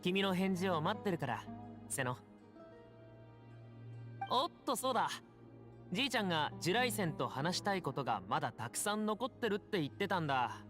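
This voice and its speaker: An actor voicing a lively, easygoing youth in a Japanese anime game, friendly and casual in manner. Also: medium pitch, racing, pauses often, faint music behind. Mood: breezy, friendly.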